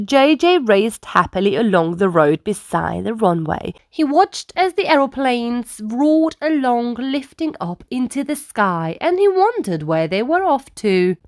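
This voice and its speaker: A woman reading a children's storybook aloud as an expressive storyteller, with careful phrasing for young listeners. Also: medium pitch, no room sound, studio quality.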